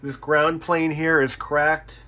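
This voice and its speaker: A man's voice: a little high, little pitch movement, takes it slowly, bright, forceful tone. A man who works as a repair technician, talking unscripted as he inspects his work, thinking aloud, repeating himself and swearing mildly. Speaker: radio repair technician and channel host.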